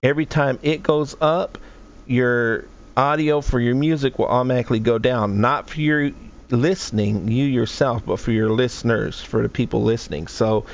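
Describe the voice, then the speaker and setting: A man's voice: mid-range voice, moderate pace, gravelly, dull audio. A man streaming from home, casually walking through his audio settings at his desk microphone, talking them through aloud with frequent restarts. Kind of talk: casual screen-share tutorial.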